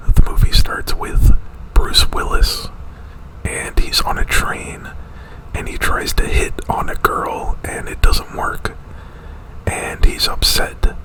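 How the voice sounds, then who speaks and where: A man whispering an ASMR retelling of a movie plot right up at the mic, in a halting, rambling, informal way. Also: poor audio. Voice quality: breathy whisper. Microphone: very close to the mic.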